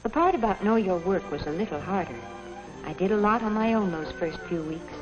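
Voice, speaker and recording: An actress playing a polite, earnest young American office worker, narrating a 1950s training film in a measured, proper way. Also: female voice, mid-range voice, lively intonation, close to an everyday voice. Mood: reflective, earnest. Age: young adult. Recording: dull 1950s film soundtrack, music behind.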